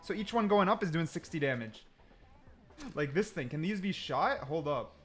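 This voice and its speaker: Male gaming creator, animated, slightly high voice, casual think-aloud delivery with emphatic repetition. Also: normal speed, soft background music. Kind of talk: casual gameplay commentary. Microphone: desk mic, sometimes turns away from it.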